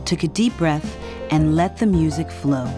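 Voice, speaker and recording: A woman reading scripted narration for a children's read-along storybook in an expressive, dramatic way. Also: sits low, a little slow. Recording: music behind the narration.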